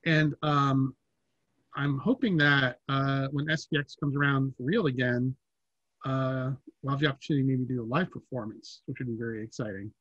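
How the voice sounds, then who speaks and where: A man in his fifties informally moderating a panel, hesitant and pausing between phrases. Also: mid-range voice, fairly even intonation, fairly bright tone, no room sound. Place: indoors at home, video call. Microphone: computer mic on video call. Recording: slightly dull video-call audio.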